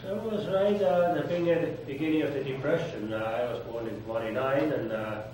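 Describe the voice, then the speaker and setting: An older man from Switzerland recalls his past in a plain, halting manner, pausing often. He sits well back from the microphone. The room is very echoey, and there is steady background noise. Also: medium pitch. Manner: plain, halting storytelling with many pauses. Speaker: interviewee. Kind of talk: oral history interview. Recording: echoey room, distant mic, noisy.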